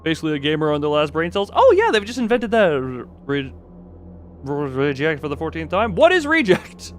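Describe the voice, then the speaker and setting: Animated, rambling man streaming a game. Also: fairly high pitch, moderate pace. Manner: rambling, easily sidetracked, stumbles over words. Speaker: live streamer. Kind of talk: casual gaming livestream chat, reading game notes aloud.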